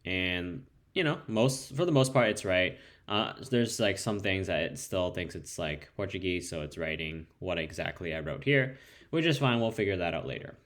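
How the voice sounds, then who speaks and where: A man's voice: low voice, animated, moderate pace, a bit bright. A man with Spanish as his first language, casually walking through a coding demo with plenty of fillers and restarts. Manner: loose and conversational, many ums and restarts. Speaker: developer demoing code. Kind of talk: casual screen-share tutorial.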